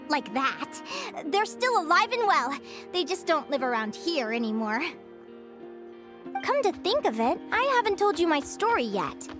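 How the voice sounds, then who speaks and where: A woman voicing an earnest, chatty young fairy changeling in a video game, telling things in an expressive, articulate way. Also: extremely high pitch, heavily acted. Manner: expressive, articulate, conversational storytelling.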